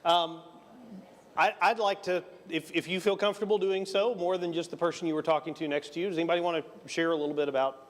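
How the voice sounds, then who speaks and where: Gentle pastor in an echoing hall. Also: male voice, fairly high pitch, steady tone, fast. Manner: gentle, encouraging prompts. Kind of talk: leading a church group sharing.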